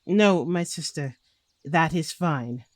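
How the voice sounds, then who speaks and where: A woman's voice: sits low, lively intonation, slow pace, no echo, exaggerated voice. A woman playing a weary, troubled noblewoman in a fantasy audio drama, hesitant and formal in speech. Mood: dazed. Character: weary, troubled noblewoman recovering from haunting visions.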